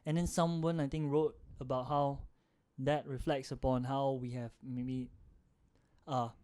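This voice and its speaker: A man's voice: mid-range voice, little pitch movement, a little slow, darkish tone, clear, resonant tone. A young man with a Singaporean accent, rambling and joking as a co-host in a casual podcast chat.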